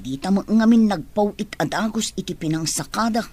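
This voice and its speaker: A woman's voice: mid-range voice, expressive, moderate pace, a little mellow, slightly rough. A woman acting a frank villager in an Ilocano-accented radio drama.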